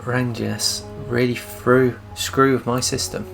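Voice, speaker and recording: A man's voice: mid-range voice, level tone, slow, gravelly, a bit bright, faint music behind. A man giving casual gameplay commentary with wry, grumbling asides. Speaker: gaming commentator.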